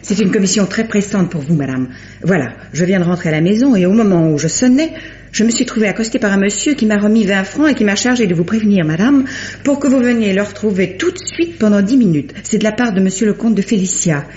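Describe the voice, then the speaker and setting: A woman playing a brisk, resourceful rescuer in an old French radio mystery, by turns commanding and reassuring. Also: medium pitch, expressive, darkish tone, close to an everyday voice. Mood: dutiful, hurried. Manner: urgent commands, soothing assurances. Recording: dull old radio recording, faint noise.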